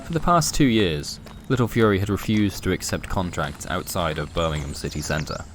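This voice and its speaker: A man with a low voice reads darkly comic pulp fiction as narrator. His delivery is deadpan and wry, set over a clearly audible music bed. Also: normal speed. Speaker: narrator and presenter. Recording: music behind the voice.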